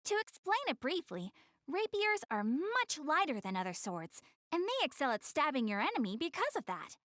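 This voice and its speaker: An actress voicing a proud, easily flustered young anime goddess in a video game, haughty yet earnest in manner. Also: female voice, high-pitched, sing-song, moderate pace, a bit bright, no echo, studio quality. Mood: earnest explaining. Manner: haughty, stammers when flustered, explains things earnestly. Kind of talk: English anime game voice acting.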